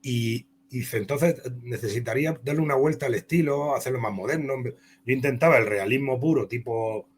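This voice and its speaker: A man's voice: mid-range voice, moderate pace, slight background noise. A man from Spain with a Castilian Spanish accent, telling career anecdotes as an interview guest in a rambling, conversational way. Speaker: comic book author and interview guest.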